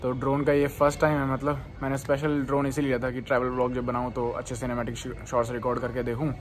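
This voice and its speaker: A man from Rajasthan chatting casually to his camera as a travel vlogger, talking to viewers from a car on a highway. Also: fast. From Rajasthan, India. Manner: chatty, relaxed, addresses viewers as guys. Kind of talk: casual vlog narration to camera. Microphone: compact camera's built-in mic. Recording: loud road and engine noise.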